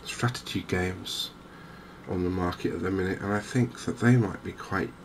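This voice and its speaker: A soft-spoken man giving hesitant, measured ASMR-style commentary as host of a gaming video. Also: low voice. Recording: clear steady background noise.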